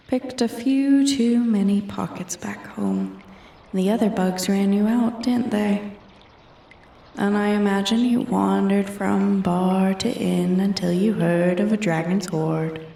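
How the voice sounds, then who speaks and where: Woman voicing a slow, menacing ancient she-dragon, echoey. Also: medium pitch, vocal fry, warm tone. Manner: slow, haughty, taunting, often dropping to a whisper. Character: ancient arrogant female dragon, menacing and predatory. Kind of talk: roleplay ASMR, scripted dramatic monologue. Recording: echoey, distant from the mic.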